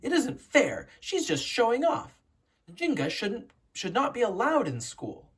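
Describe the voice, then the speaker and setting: A woman's voice: on the high side, huge pitch swings, measured pace, husky, pitched above the performer's own voice, exaggerated voice. A woman voicing a jealous, indignant young prince who scorns his sister in a storybook.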